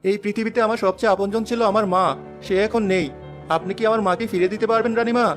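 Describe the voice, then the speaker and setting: A woman's voice: medium pitch, steady tone, fast talker, natural voice. A woman voicing a sad, humble young village boy in a children's fairy-tale cartoon, earnest and pleading. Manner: plaintive, pleading. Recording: music under the voice.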